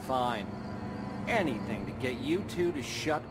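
Man playing a slick, smug gangster over loud music. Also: mid-range voice, expressive, unhurried, natural voice. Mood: irritated. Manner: smooth, mock-sympathetic wiseguy slang. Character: slick, smug male gangster in a checkered suit. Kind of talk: video game voice acting.

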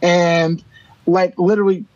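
A comedian on a podcast, agreeing emphatically and acting out complaints. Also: male voice, high-pitched, level tone, a little slow, a little mellow, no room sound. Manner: emphatic agreeing, exaggerated retelling. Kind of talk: casual comedic chat. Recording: slightly dull, muffled sound.

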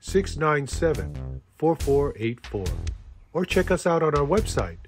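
A man's voice: fairly high pitch, big pitch swings, a little slow, soft background music. A man announcing a staffing ad in a scripted commercial read. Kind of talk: radio-style ad read.

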